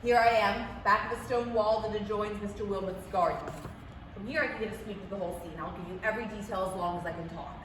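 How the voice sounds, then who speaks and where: An awestruck, dramatic field reporter played by a woman gives a breathless eyewitness account in a radio play. The sound is very echoey and set back from the mic, with clear background noise. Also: mid-range voice, fairly even intonation, quick pace, distant mic, lightly acted. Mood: tense, awed. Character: male radio field reporter, awed then panicked eyewitness. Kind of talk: staged reading of 1938 radio drama. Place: public library room, staged reading.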